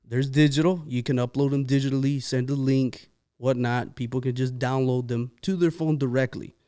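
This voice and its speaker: Chatty male podcast host, mid pitch. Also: fairly even intonation, moderate pace, warm tone, clean tone. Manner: upbeat, chatty, repeats guest's answers. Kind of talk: casual interview chat. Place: podcast studio.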